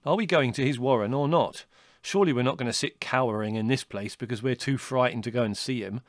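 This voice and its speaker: A man reading a storybook, voicing a steady, cheerful young male rabbit. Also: mid-range voice, fast talker, no room sound, clean studio sound, close to an everyday voice. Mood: eager.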